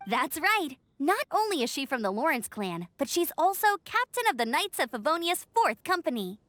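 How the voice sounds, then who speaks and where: A woman's voice: sits high, big pitch swings, moderate pace, dry recording. A woman playing a perky, upbeat young scout in a video game, friendly and encouraging. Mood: friendly, explaining. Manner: bright-spirited, encouraging, friendly. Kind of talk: scripted video game character acting.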